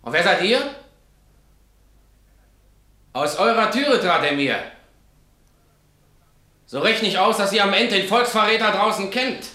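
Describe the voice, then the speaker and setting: Radio play casting: suspicious, threatening wartime official, high forceful voice, accusatory, roomy echo. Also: male voice, expressive, a little slow, long pauses, heavily acted. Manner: loud, accusatory. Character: menacing, suspicious wartime official interrogating the sisters. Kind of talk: theatrical radio drama.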